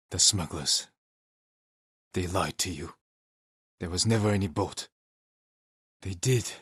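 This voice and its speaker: Stern, husky low-voiced samurai. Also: male voice, a bit bright, no room sound, clean studio sound, heavily acted. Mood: grave, subdued. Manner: measured, terse, commanding. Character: stern honorable adult male samurai warrior, dutiful and weary. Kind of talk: video game character voice lines.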